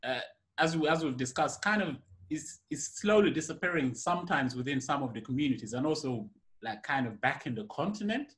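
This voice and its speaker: A man's voice: medium pitch, animated, brisk, fairly bright tone. A young man hosting a casual video-call interview, talking in a reflective, loose way with many "you know"s, from a room at home. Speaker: interviewer, host. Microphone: laptop webcam on a video call.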